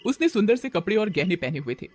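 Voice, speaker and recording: A man's voice: sits high, very animated, fast talker, soft background music. A man narrating a horror story as a dramatic storyteller.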